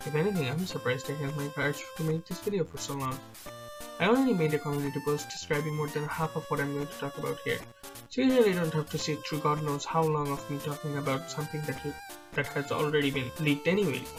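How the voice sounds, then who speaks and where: A man's voice: a little high, fast, low-quality recording. Man, light voice, chatty and hedging gaming commentary, music bed faint. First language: not Chinese. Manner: casual, self-deprecating, hedging with many uhs. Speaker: gaming YouTuber. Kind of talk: speculative game commentary over slides. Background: background music.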